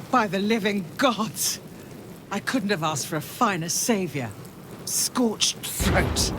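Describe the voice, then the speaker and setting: A woman's voice: lowish voice, noisy background. An actress playing a dignified, wry woman councillor in a fantasy video game, formal and authoritative. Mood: wry, grateful.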